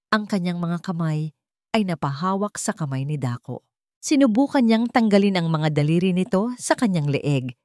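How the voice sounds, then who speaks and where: A woman's voice: lowish voice, darkish tone, dry recording. A woman storyteller reading a scripted, dramatic story in a close voice-over.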